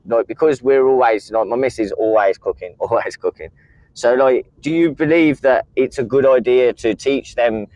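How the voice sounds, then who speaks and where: A man's voice: medium pitch, a little mellow, no room sound. Caller in his thirties, loose and rambling, dry and muffled line. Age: 30s. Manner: rambling, repeats words, many fillers. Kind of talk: casual call-in chat.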